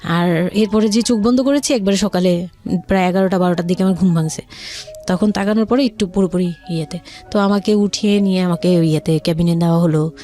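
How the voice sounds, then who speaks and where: A woman in her thirties with a Bangladeshi accent, telling her personal story in conversation as an interview guest, haltingly and circling back. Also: fairly low pitch, warm tone, soft background music.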